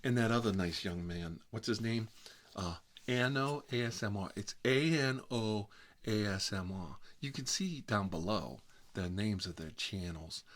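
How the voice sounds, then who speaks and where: A man's voice: on the low side, unhurried, fairly bright tone. A man playing a fussy, gossipy fellow who lives with his mother, rambling and repeating himself in an ASMR comedy role-play. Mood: coy, gossipy. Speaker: ASMR channel host. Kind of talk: ASMR comedy role-play, one-sided chat with a visitor.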